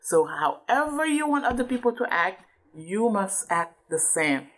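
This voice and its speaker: A woman's voice: medium pitch, sing-song, unhurried, a bit bright. A woman doing a teaching read-aloud, patiently explaining and often checking understanding with questions. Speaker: adult reading a book with a child.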